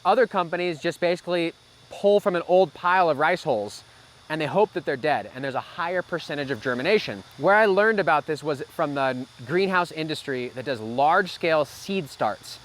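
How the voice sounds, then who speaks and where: A man's voice: a little high, expressive, a little fast, fairly bright tone, slight background noise. A man co-hosting a casual Q&A, explaining growing practices in a practical, folksy way.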